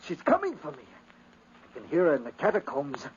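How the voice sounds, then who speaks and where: Crazed male aristocrat, haunted and paranoid, high-pitched and volatile, urgent radio-drama delivery on a dull old recording. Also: wildly swooping pitch, moderate pace, slight background noise. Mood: frantic terror. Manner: feverish, urgent, theatrical. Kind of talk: 1950s radio acting.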